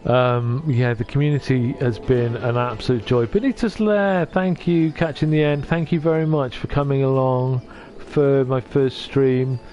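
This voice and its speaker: A man from North Wales streaming from his sofa at home. He chats gently with his viewers and often stops and restarts. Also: mid-range voice, fairly even intonation, a little slow, few pauses, highs cut off. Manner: gentle, hesitant, with many restarts. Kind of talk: casual chat reading out viewer comments.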